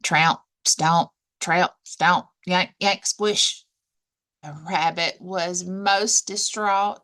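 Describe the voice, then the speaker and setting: A woman librarian narrating a children's storybook aloud, deliberate and expressive, dwelling on action words. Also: lowish voice.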